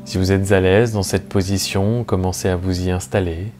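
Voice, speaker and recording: A man guides a relaxation session as a meditation teacher, speaking slowly and calmly, with soothing, measured phrasing and long pauses. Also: deep voice. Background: soft plucked guitar music.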